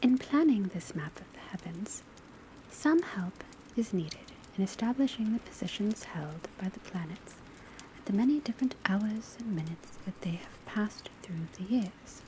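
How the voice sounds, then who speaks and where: A woman playing a witch academy professor, lecturing in a soft, breathy ASMR voice, often whispered, in a measured and formal way. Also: medium pitch, close to an everyday voice. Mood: calm, instructive. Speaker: teacher. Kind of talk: ASMR classroom roleplay lecture. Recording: steady rain and crackling fire noise. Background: rain on rooftop, fireplace, pencil writing.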